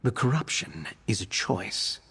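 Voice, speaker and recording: A man playing an earnest, bookish young male vampire alchemist in a video game, speaking in an articulate, expressive way. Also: on the low side, unhurried, creaky, husky, big-room sound, full character voice. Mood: earnest, reflective. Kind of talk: video game character voice acting.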